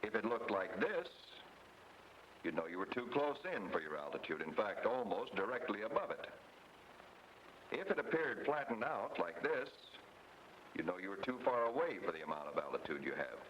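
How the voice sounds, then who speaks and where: A man giving measured, scripted instructional narration, explaining step by step like a flight instructor. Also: on the low side, big pitch swings, a bit bright, highs cut off. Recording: noisy vintage training film soundtrack, engine drone.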